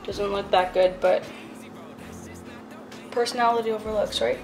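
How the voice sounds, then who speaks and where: A woman's voice: medium pitch, steady tone, measured pace, music in the background. A young woman in her twenties casually talking to her phone camera at home, chatty and a bit self-conscious. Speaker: fitness vlogger. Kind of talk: casual vlog talk to camera. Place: home rooms, gym room and a parked car. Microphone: handheld phone camera at arm's length.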